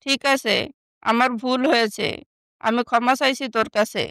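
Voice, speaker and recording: A woman acts out a soft-hearted, downtrodden young stepsister from an animated moral story. Her voice is high and smooth, recorded dry and close. She speaks submissively, as if always pleading to be accepted. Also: normal speed, dry recording. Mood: sad, submissive.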